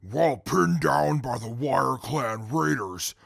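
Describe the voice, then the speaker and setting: An actor playing a grandiose, easily offended super mutant with a gravelly, theatrical way of speaking in an audio drama. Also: male voice, mid-range voice, expressive, slow, dry recording, clean studio sound, heavily acted. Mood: indignant, pompous. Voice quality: gravelly, raspy. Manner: pompous, theatrical, formal diction. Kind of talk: post-apocalyptic audio drama acting.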